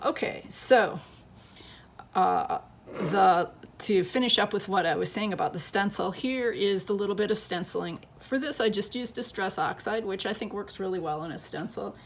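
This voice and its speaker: A woman with a lowish voice and a gravelly, fry-edged tone talks through a scrapbook page at her crafting table. She is losing her voice and chats in a loose, thinking-aloud way as she works. A faint hiss sits underneath. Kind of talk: casual craft tutorial.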